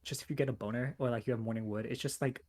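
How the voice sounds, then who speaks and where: A man's voice: medium pitch, steady tone, very fast, a little mellow. A young man co-hosting a podcast, making dry, wry remarks in casual chat. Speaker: podcast co-host.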